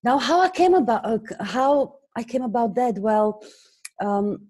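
A woman with a Slovak accent, a guest business coach talking earnestly in an interview, often pausing on fillers. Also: a little high, lively intonation, warm tone. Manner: earnest, hesitant with many fillers. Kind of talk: conversational interview.

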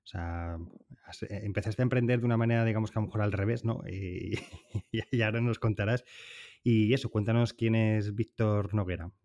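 A man's voice: fairly low pitch, fairly even intonation, fairly quick, darkish tone, muffled recording. A man hosting a podcast interview, friendly and chatty, introducing and prompting his guest and laughing easily. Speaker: podcast host.

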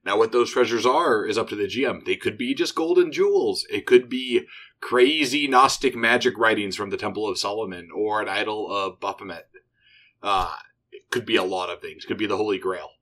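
A game designer on a podcast, a man with a bright, forward tone. He talks about his game with eager enthusiasm in a casual chat. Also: fairly low pitch, brisk.

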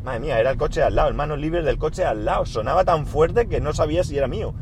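A man's voice: a little high, fast talker, slight background noise. Man with a sore throat musing in his car. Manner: rambling, self-correcting, thinks aloud with many fillers. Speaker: podcast host recording a daily audio diary. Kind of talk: casual spoken diary monologue. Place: inside a car.

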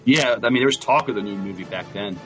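Man, podcast co-host, lowish voice, hesitant, stumbling restarts, casual conversation. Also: fast, faint music behind, rough recording. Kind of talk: casual chat.

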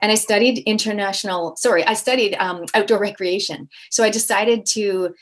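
A woman's voice: mid-range voice, a little fast. A middle-aged woman from Canada with a Canadian accent, a guest talking casually in an interview, upbeat and full of fillers and restarts. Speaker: guest, impact coach.

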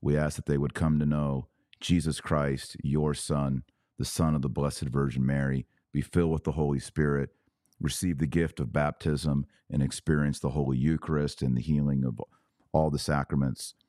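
Male podcast host, very low voice, close dry sound, earnest religious commentary, emphatic preacherly delivery. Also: flat delivery, moderate pace, mellow, dry recording. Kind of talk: live religious commentary to viewers, with exhortation and prayer.

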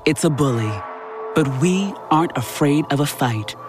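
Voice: mid-range voice, expressive, normal speed. An announcer reading a scripted charity advertisement in a resolute, determined way. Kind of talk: scripted public service announcement. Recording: loud music bed under voice.

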